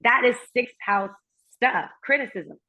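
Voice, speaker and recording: A woman's voice: fairly high pitch, lively intonation, a little slow, bright, forceful tone. Earnest woman with German as her first language. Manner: earnest, personal, talks in long flowing runs. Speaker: guest astrologer. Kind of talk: casual conversation. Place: remote, while traveling. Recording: muffled lo-fi remote audio.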